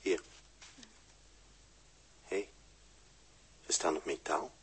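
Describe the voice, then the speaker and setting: A brooding spaceman speaks low and unhurried, with a very breathy, husky tone. He sounds wary and full of wonder, in short phrases. The style is 1960s radio drama. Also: fairly even intonation, exaggerated voice. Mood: hushed, awed. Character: male spaceship crewman exploring a strange planet, wary, awed.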